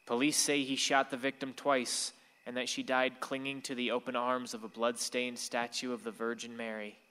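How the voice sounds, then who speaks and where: A man's voice: medium pitch, little pitch movement, moderate pace, pushed and bright, clear, resonant tone, natural voice. A man acting a wistful, bitter son of a grieving mother, telling his story earnestly in a radio drama. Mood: grave. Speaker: actor in a radio play. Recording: long hall reverb.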